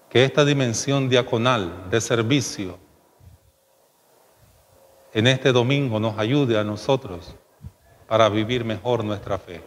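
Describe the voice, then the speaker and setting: A middle-aged man, a bishop presiding at Mass, preaching and leading prayers in a measured, solemn way. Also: mid-range voice. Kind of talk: homily and liturgical prayers. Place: chapel with altar, indoors. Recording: long chapel reverb, faint noise.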